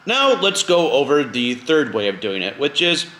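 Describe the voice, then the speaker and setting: A man's voice: medium pitch, sing-song, moderate pace, fairly bright tone, roomy sound, a little hiss or hum, poor audio. A man hosting a casual step-by-step tutorial in an informal, conversational way. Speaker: video host giving a drone tutorial.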